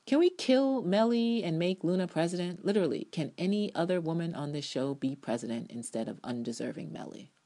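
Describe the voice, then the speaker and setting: A woman's voice: lowish voice, expressive, brisk, hardly any pauses, mellow. A woman reviewer giving a dramatic reading of a written TV review, emphatic and opinionated, drawing out key words and adding offhand asides. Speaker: TV episode reviewer.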